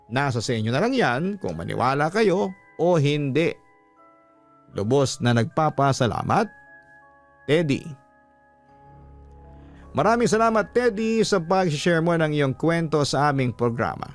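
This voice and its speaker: A man's voice: on the high side, big pitch swings, a little slow, long pauses. A man with a Filipino accent hosting a story program, reading in a scripted narration style. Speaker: host reading a listener's horror letter. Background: music.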